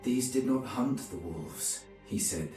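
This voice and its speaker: An actor playing a grave, pious medieval crusader who narrates his campaign journal in a solemn, formal, measured way. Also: mid-range voice, animated, roomy sound, distant mic. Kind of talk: scripted dramatic narration. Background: music.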